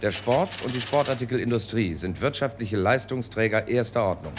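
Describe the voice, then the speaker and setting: A man playing a slick, self-assured advertising consultant in a radio drama, pitching in clipped slogans. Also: animated, brisk, background noise, close to an everyday voice. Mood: pompous, persuasive. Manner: salesman's pitch in clipped slogans with a pet filler phrase. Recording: music under the voice.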